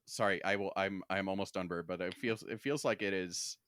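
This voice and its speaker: Low-pitched man, dry close sound. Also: fairly even intonation, a little fast, fairly bright tone, clean tone, dry recording. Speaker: podcast co-host. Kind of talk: casual pop-culture discussion.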